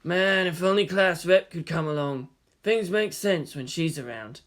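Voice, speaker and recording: A man's voice: on the high side, a little slow, a bit bright. A man voicing a plain-spoken, bored teenage boy in a story reading, casual and complaining. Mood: weary.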